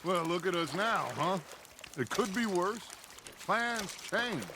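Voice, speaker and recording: A middle-aged American outlaw boss from a western game. He is grandiose and upbeat, speaking slowly and expansively in a fairly high male voice, with clear water and boat noise behind him. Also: animated. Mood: reflective, reassuring. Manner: theatrical, expansive, philosophical. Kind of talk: cinematic western game acting. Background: water and boat sounds.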